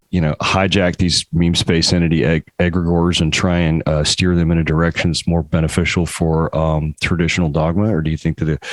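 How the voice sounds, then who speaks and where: Young male host, very low voice, dry close sound; deliberate, methodical questioner. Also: no room sound. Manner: deliberate, setting up long questions. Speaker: podcast host. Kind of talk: interview questions.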